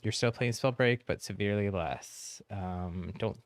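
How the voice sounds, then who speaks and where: A man's voice: fairly low pitch, unhurried, no echo. A man hosting a livestream, chatting casually with viewers in a friendly, easygoing way. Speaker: game streamer, host.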